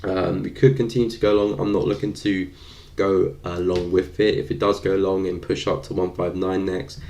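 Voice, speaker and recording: A young man with a very low voice and a gravelly, creaky texture, speaking. He talks in a relaxed, thinking-aloud way, as if he were commenting on a live stream. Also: moderate pace. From London, UK.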